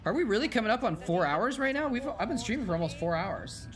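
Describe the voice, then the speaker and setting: A man's voice: sits high, very animated, quick pace. A man streaming and chatting casually as a former game caster, rambling and correcting himself as he answers viewers, his voice tired after hours of talking. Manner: rambling, self-correcting, reads and answers chat aloud. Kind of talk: casual live-stream chat while watching old matches.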